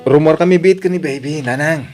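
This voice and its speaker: A fairly high male voice as a dutiful young working son played by a man, eager to help his parents. It is family audio drama with an Ilocano accent. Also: brisk, over music, natural voice. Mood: casual.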